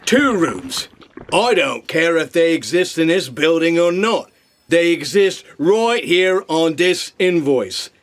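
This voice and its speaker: An actor playing a thuggish bloodsucker creature who collects debts, talking in slangy, menacing tough talk in a comic audio drama. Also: male voice, fairly high pitch, expressive, slow pace. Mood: insistent haggling.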